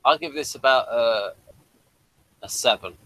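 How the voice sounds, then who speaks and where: A man's voice: mid-range voice, unhurried, a bit bright, rough recording. A man co-hosting a casual review chat, often stumbling and repeating words as he starts a thought. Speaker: podcast co-host.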